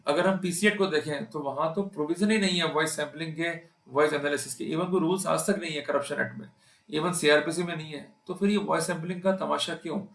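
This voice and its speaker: A man's voice: fairly high pitch, steady tone, fairly quick, gravelly, fairly bright tone, roomy sound. A man with an Indian accent giving an explanatory law lecture, emphatic, putting rhetorical questions to his listeners. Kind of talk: explanatory legal lecture mixing Hindi and English terms.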